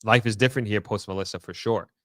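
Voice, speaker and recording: A man with a fairly low voice talks casually as a livestream host. He sounds tired and thinks aloud in a halting, informal way. The sound is dry, with very little room echo. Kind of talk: casual livestream chat, reading out viewer comments.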